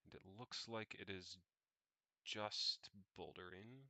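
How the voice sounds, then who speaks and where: A young man narrating what he does on screen, unscripted, in a halting, meandering way as he thinks aloud. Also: deep voice, bright, forceful tone, no echo. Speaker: vlogger.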